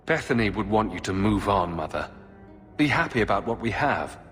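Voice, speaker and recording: An actor playing a steady, dryly witty young hero in a fantasy video game, in a matter-of-fact way. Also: male voice, lowish voice, normal speed. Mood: gentle consoling. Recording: long reverb, music behind. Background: music.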